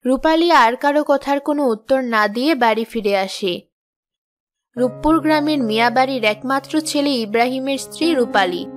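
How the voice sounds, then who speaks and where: A woman's voice: high-pitched, normal speed. A woman narrating a children's story in a scripted storytelling style. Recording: music behind the voice.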